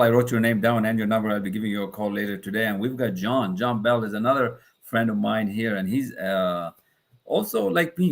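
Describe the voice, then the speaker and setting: A man from Lebanon hosting a livestream, pitching his coaching program persuasively and repeating phrases for emphasis. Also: slightly rough. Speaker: coach and host.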